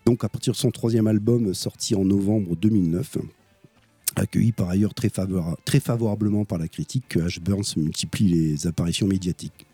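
A man's voice: low-pitched, a little fast, dark tone, breathy edge, no echo. A man presenting a music segment on French radio in casual chat, hesitant with many fillers and restarts.

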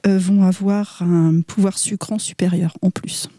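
A woman food engineer, a guest on a radio show, explaining sugar in a hesitant way, with many pauses and fillers. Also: lowish voice, steady tone, dry recording, clean studio sound. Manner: hesitant, many "euh", soft half-whispered asides.

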